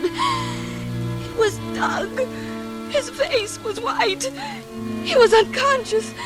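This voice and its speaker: An actress playing a terrified woman in an old radio thriller, with breathless, halting, melodramatic delivery. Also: very high-pitched. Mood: desperate pleading. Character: terrified woman recounting her mad sister's deadly menace. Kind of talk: 1940s radio suspense acting, dramatic first-person narration. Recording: old radio drama, music behind.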